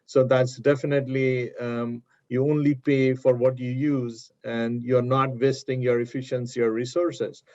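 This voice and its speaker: A man's voice: medium pitch, level tone, measured pace, few pauses, a little mellow. A man hosting a business webinar interview, agreeable and hesitant, with many fillers, heard through dull lo-fi audio. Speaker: webinar host.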